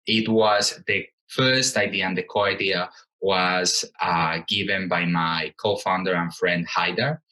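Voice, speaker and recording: A very low-pitched man from Colombia, Spanish his first language, speaking with a bright, forceful edge. He answers interview questions in a thoughtful, halting way, pausing on fillers and starting phrases over. He sounds like a guest in his thirties. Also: fairly even intonation. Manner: thoughtful, hesitant with many fillers and restarts. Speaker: guest, company co-founder.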